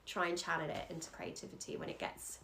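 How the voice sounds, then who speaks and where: A woman's voice: fairly low pitch, completely flat delivery, quick pace, gravelly, sharp tone, slight background noise, low-quality recording. A Scottish woman, an astrologer, giving an earnest, encouraging chart reading in loose conversational speech.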